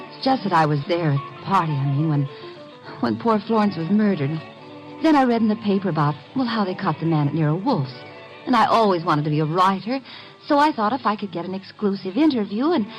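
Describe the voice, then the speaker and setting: A woman's voice: medium pitch, animated, brisk, faint music behind, lightly acted. Coy, lilting young woman, played by an actress. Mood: pleading. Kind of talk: old-time radio drama acting. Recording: dull vintage radio recording.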